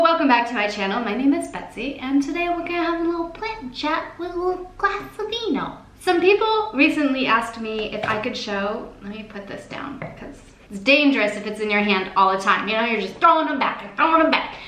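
A woman's voice: sits high, a bit bright. A woman in her twenties hosting a casual plant how-to vlog, chatty and playful with self-mocking asides, seated at a table in a plant-filled living room. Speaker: plant vlogger, host. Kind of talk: casual chat with how-to tips. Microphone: camera at a distance, seated at a table. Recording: roomy echo.

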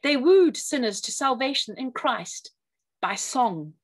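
A woman reading a written religious history aloud with emphatic, deliberate phrasing. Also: high-pitched, expressive. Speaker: reader of a written church history talk.

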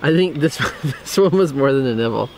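A man's voice: medium pitch, expressive, brisk, a crowd in the background. A young man chatting and joking with his travel partner outdoors. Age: young adult. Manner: jokey, laughs along. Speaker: travel vlogger. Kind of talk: casual chat. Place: outdoors by a forest path. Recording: noisy outdoor recording.

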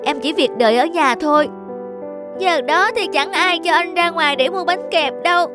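A woman voicing a kind, protective older boy in a cartoon, speaking gently and earnestly. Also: very high-pitched, moderate pace, over music. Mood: earnest, concerned. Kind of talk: cartoon voice acting.

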